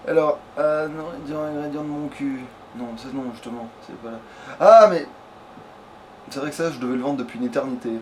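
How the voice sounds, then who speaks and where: A man's voice: fairly high pitch, normal speed, a little mellow. A man giving casual let's play commentary, thinking aloud in slangy, crude language. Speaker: let's player. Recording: faint background noise.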